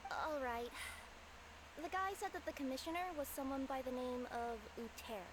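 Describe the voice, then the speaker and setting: A woman's voice: high voice, moderate pace, a crowd in the background, lightly acted. A woman voicing a cheerful young two-tailed cat yokai courier girl who talks in a sheepish, evasive way. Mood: cheery, sheepish. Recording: game audio with background noise.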